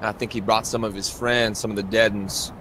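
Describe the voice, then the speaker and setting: Tabletop game role: zealous folksy preacher, acted by a man, commanding and ominous, low-pitched, noisy recording. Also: level tone, a little fast, fairly bright tone, natural voice. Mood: smirking, ominous. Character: zealous backwoods male preacher, urgent and smirking.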